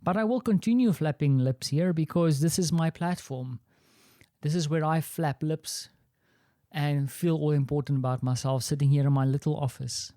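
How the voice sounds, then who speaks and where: A man's voice: on the high side, moderate pace, dark tone, dry recording, studio quality. A man with an Afrikaans accent hosting a solo podcast, talking in a rambling, reflective, self-deprecating way from his small office. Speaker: podcast host.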